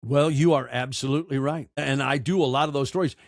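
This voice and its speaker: A man's voice: mid-range voice, steady tone, fast talker, gravelly, no room sound, clean studio sound. A man speaking casually and anecdotally as a podcast guest, emphatic and repeating phrases for effect. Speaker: podcast guest, storyteller and narrator. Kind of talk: casual interview conversation, anecdotal.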